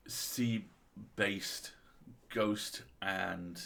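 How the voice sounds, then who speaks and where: A man's voice: lowish voice, fairly even intonation, drawn-out words, vocal fry, pushed and bright. A man co-hosting a casual literary discussion, speaking in an enthusiastic, articulate and opinionated way. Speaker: co-host of a story reading livestream.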